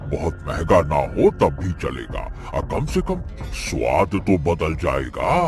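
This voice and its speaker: Cartoon diner regular, older man played by an actor: low, animated, blunt, grumbling yet full of advice, music underneath. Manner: colloquial, complaining, emphatic. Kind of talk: Hindi cartoon story voice acting. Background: background music.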